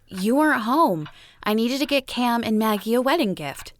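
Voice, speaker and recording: A woman's voice: on the high side, animated, normal speed, close to an everyday voice. A woman playing a dry, sardonic wife. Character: dry, wry wife unbothered by her husband.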